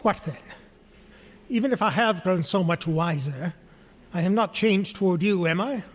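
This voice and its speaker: A man's voice: high voice, normal speed. A defensive young man in a radio drama. He sounds cool, guarded and questioning. His words ring in a long reverb.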